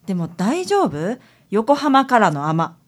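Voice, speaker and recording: A woman's voice: mid-range voice, very animated, takes it slowly. A woman playing a friendly, teasing young detective's assistant with a Kansai accent in a radio drama. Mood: friendly welcoming.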